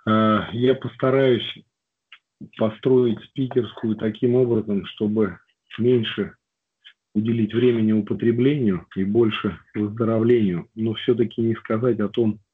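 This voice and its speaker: A man's voice: on the low side, steady tone, slightly rough. Man with a cold on a tinny call. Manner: slow, reflective, with hesitant fillers. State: has a cold. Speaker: recovery group speaker. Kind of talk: personal testimony talk. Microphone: video call. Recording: tinny phone-like line.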